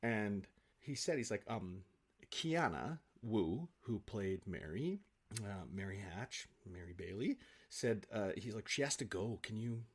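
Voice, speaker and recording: Guy guest telling stories, really animated up and down, kinda halting and self-mocking. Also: lowish voice. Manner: animated, self-deprecating, halting with repeated words. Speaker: actor, interview guest. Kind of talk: casual anecdotal storytelling. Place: home office, on a remote call.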